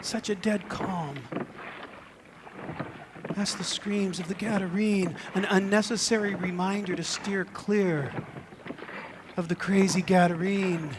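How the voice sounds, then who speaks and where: A male preacher giving a theatrical, suspenseful dramatic reading as sermon storytelling, with deliberate pauses. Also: high voice, fairly even intonation, noisy background. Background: music and sound effects.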